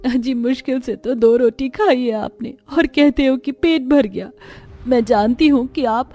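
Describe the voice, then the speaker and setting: A woman's voice: high-pitched, measured pace, dark tone, voice pushed up. A woman voicing a poor village mother in a cartoon, sorrowful but resolute, earnest and tender. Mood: worried. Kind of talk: Hindi cartoon dialogue.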